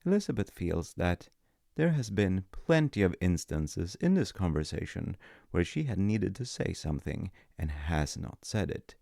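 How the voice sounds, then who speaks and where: A man telling a story in an intimate roleplay as a dad, in a measured, wry way with dramatic pauses. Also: low voice, vocal fry, warm tone, no room sound, studio quality.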